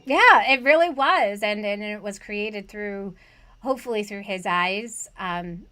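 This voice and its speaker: A woman's voice: mid-range voice, unhurried, sharp tone. A woman hosting a casual conversation about online tutoring, earnest and chatty, asking rhetorical questions. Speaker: host, online tutoring coach.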